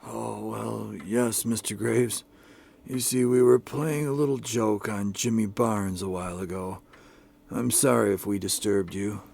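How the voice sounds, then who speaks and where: Man playing a low, mellow, wry father. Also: steady tone, creaky, husky, exaggerated voice. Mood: calm, apologetic. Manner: measured, dryly moralizing. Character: wry, sermonizing father figure, male, mild-tempered. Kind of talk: amateur old-time radio drama acting.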